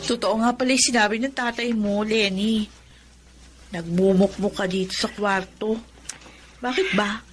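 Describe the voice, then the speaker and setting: A woman's voice: medium pitch, fairly even intonation, a little slow, long pauses, over music, close to an everyday voice. A woman acting in a radio drama as a caring young best friend, coaxing and reassuring.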